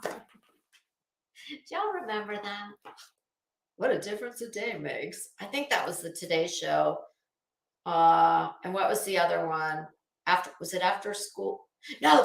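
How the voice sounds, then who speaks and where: A woman's voice: fairly high pitch, fairly bright tone, roomy sound. A middle-aged woman hosting a casual livestream, chatting in a rambling, gossipy and sarcastic way. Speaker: livestream host. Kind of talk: casual livestream commentary chat.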